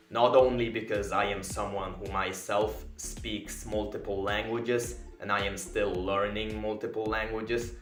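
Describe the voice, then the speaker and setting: A man's voice: on the low side, fairly bright tone, echoey room. A young man whose first language is Polish, giving an earnest, emphatic self-improvement commentary. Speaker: video essayist.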